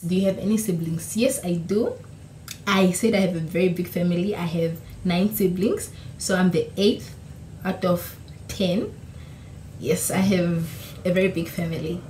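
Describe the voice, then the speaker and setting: A woman's voice: fairly low pitch, steady tone, moderate pace, darkish tone. A young woman from Namibia, whose first language is Oshiwambo, answering questions in a casual, chatty vlog, laughing and correcting herself. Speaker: YouTuber. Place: small room at home, indoors. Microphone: camera in front, seated. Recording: echoey room with faint hiss.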